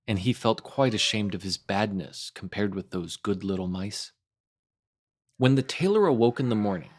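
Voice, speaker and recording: A man reading a classic children's tale aloud as a scripted storytime narration. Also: mid-range voice. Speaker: storytime host and reader.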